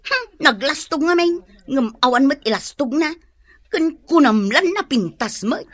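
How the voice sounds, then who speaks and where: A woman's voice: sits high, lively intonation, unhurried, dry recording. A woman with an Ilocano accent playing a boastful, vain, taunting neighbour in a radio drama. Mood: mocking. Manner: taunting, sharp-tongued.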